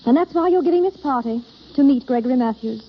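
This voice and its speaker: An actress playing a frank, sympathetic young society woman with an animated, teasing manner in a 1930s radio drama. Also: sits high, quick pace. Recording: scratchy, muffled old radio broadcast.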